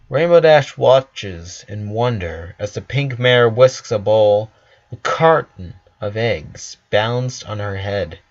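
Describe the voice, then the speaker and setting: A man narrating a story from a script, on a slightly dull recording. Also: medium pitch.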